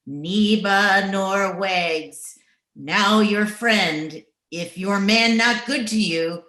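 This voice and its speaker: Woman playing very slow, ominous Native servant woman. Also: mid-range voice, fairly bright tone. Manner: halting broken English, ominous warnings. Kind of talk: old-time radio horror acting. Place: remote recording over Zoom. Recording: roomy echoing video-call audio.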